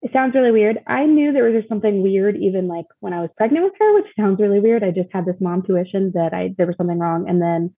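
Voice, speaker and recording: Husky young woman on a phone-like line. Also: medium pitch, fast, hardly any pauses, no echo. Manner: informal, candid, often uses "like". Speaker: interview guest, mother sharing her experience. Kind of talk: casual personal storytelling. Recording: thin, phone-like call audio.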